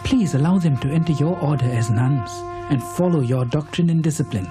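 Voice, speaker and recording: A man playing a gentle, devoted monk attendant in an animated religious drama, respectful and earnest. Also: a little high, brisk, music in the background, natural voice. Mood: respectful pleading. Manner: deferential, earnest.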